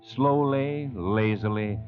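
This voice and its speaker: A man giving measured, deliberate dramatic narration in the style of 1940s radio storytelling. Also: on the low side. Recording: dull lo-fi vintage radio, music behind. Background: dramatic orchestral score.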